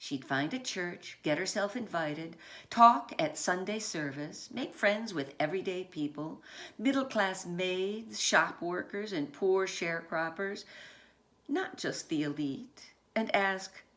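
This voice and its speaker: A woman author reading her children's picture book aloud in deliberate short phrases, with dramatic emphasis on key words. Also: on the low side, lively intonation, a bit bright.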